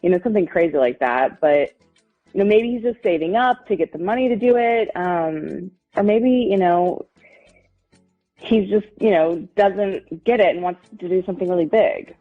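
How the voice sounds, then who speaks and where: Lady calling a radio show, lowish voice, casual n hesitant, dull lofi sound. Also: moderate pace. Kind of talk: casual phone chat, hesitant explaining. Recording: muffled lo-fi audio, music underneath.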